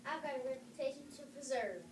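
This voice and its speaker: High-pitched young student reading a play. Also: fast talker. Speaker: student reader. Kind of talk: readers theatre performance. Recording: distant, echoey, noisy classroom recording.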